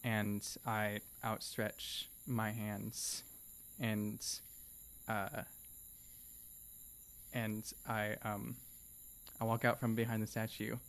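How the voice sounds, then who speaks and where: A man's voice: on the low side, monotone, extremely slow, pauses often, fairly bright tone. A young man playing a tabletop game, talking hesitantly with many fillers, on a studio mic. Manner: hesitant, many ums and uhs. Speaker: player. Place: studio set with a desk. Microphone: studio mic on a stand.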